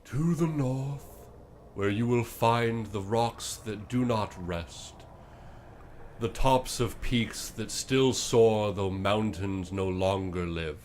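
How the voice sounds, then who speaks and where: A man voicing a solemn ancient undead oracle reciting prophecy in a tabletop game, measured and portentous. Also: lowish voice, slight background noise.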